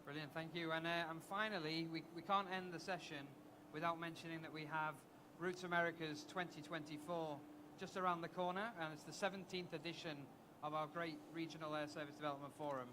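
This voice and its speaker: A man's voice: fairly high pitch, fairly even intonation, brisk, few pauses, background noise. A man moderating a live conference panel, wrapping up the session in an upbeat way.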